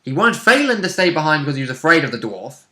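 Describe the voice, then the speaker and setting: A man's voice: a little high, very animated, quick pace, sharp tone, roomy sound. A man chatting casually and bluntly as a player recapping a tabletop role-playing game, in an informal, sweary way.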